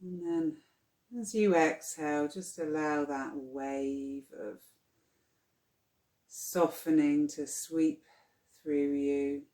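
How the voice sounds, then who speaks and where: A yoga nidra guide leading a guided relaxation in a soothing, unhurried, gently instructing manner. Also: medium pitch, steady tone. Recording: roomy, echoing sound.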